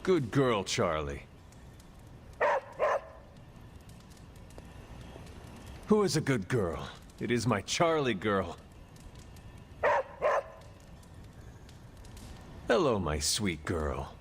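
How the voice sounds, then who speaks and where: Formal, animated warrior hero. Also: male voice, lowish voice, slight background noise, exaggerated voice. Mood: affectionate. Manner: formal, measured phrasing. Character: reserved male warrior hero, calm and earnest. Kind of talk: video game cutscene acting.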